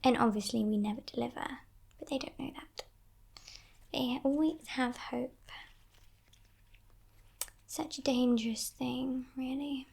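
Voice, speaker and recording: A woman voicing a playful, menacing female demon in a breathy, teasing whisper for an ASMR roleplay. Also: high voice, full character voice. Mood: smug. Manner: teasing, condescending, softly sinister, unhurried.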